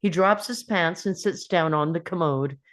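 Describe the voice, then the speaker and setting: A woman's voice: lowish voice, normal speed. A woman narrating a screenplay's scene directions at a table read.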